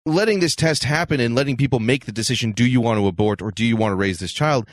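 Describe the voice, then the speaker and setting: A man's voice: medium pitch, fast, no room sound. Assertive man debating, close dry sound. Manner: assertive, argumentative. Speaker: talk show co-host. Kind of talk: casual opinionated debate.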